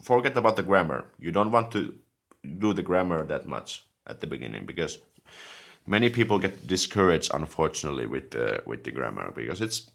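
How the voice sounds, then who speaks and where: A man from Finland, talking casually on a live stream and answering viewer comments as a language-learning host, with a relaxed, chatty manner and frequent "like" fillers. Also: low-pitched, a little fast, gravelly.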